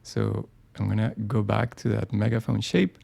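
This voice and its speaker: Gravelly, mellow man thinking aloud. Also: on the low side, fairly even intonation, normal speed. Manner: casual, thinking aloud with many ums and restarts. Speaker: design software presenter. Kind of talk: live screen-share tutorial.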